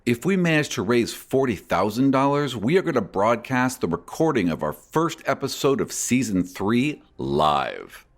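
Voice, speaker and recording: A man hosts a podcast, reading a prepared announcement in the manner of a game master addressing listeners. His pitch sits in the middle for a man and moves up and down in a lively, animated way. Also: normal speed, few pauses. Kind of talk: scripted podcast announcement and narration.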